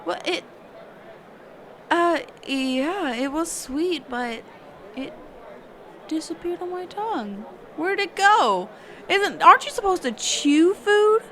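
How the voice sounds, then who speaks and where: A woman playing a sheltered, curious mage teacher in a conversational roleplay audio drama, halting and often correcting herself. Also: extremely high pitch, animated, a bit bright, some chatter behind, a little hiss or hum, heavily acted. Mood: surprised, curious. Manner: halting, hesitant, trailing off and self-correcting.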